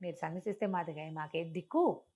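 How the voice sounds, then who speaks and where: A woman's voice: on the low side, fairly quick, mellow, husky, voice pushed down. A woman storyteller voicing a distraught, devoted queen who pleads insistently with her husband in a folk tale. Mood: pleading.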